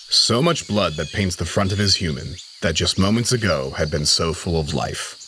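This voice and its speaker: A man with a deep, low voice narrates a dramatic story. His delivery is solemn and measured, and faint insect-like night ambience sits behind him. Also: bright, forceful tone, a little hiss or hum.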